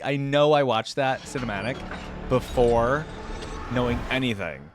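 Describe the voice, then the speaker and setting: A man giving casual, musing commentary as a reaction video host, often trailing off quietly. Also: mid-range voice, slight background noise. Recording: voice over loud game cinematic music.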